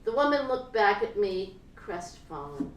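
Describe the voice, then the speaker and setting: A woman giving an expressive live reading of literary short fiction, acting out the dialogue dramatically. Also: mid-range voice, unhurried. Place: live reading event, in a room before an audience. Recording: roomy live hall recording, distant mic, noisy.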